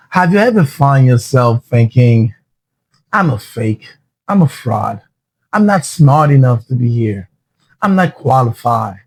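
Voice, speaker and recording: A husky-voiced man coaches his listeners in a podcast. He talks earnestly and often restarts his phrases. The sound is dry and close. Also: medium pitch, a little mellow, no echo, rough recording. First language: Haitian Creole. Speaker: podcast host. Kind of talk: motivational podcast talk.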